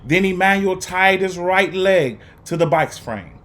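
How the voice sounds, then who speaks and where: A man reading a children's story aloud to students, with deliberate, emphatic phrasing and an earnest, encouraging manner. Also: sits high, lively intonation, a bit bright. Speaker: guest reader for students.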